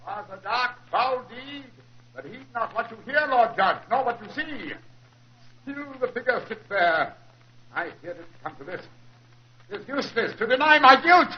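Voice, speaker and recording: A man's voice: very high-pitched, expressive, normal speed, slight background noise. An actor playing a crazed, guilt-ridden male villain, declaiming in the overwrought style of 1940s radio melodrama. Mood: haunted, declaiming. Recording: muffled 1940s radio recording.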